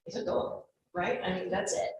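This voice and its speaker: Woman on a law panel, emphatic, lowish voice, in a very echoey room. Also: fairly even intonation, moderate pace, a little mellow. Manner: emphatic, repeats "right?". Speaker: lawyer panelist. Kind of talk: panel answer. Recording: very echoey room, faint noise.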